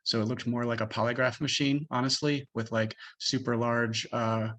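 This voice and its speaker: A man in his early thirties telling his own experiences casually and haltingly as a panelist. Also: medium pitch, steady tone, fairly bright tone, slight background noise. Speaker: patient panelist. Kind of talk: casual storytelling on an online panel.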